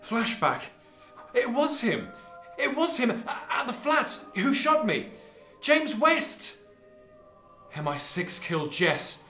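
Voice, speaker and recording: A man's voice: very high voice, sing-song, slow pace, bright, forceful tone, roomy sound, soft background music, heavily acted. A man playing a vengeful, grieving secret agent in a radio drama, emphatic and given to swearing. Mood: shocked, vengeful.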